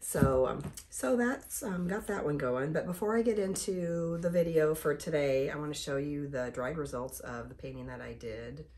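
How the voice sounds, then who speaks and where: A woman with a slightly hoarse voice, giving a casual, halting painting tutorial as the host. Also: low voice, darkish tone, a little hiss or hum. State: slightly hoarse from being sick. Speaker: host of an art tutorial channel.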